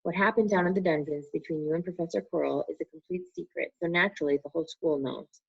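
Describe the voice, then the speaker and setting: A gentle, kindly old wizard headmaster , as a woman narrator plays him, speaking softly and calmly at a lowish pitch. Her voice is husky with a gravelly edge. The sound is muffled and lo-fi. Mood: soothing. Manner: soft, calm, unhurried. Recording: muffled lo-fi recording.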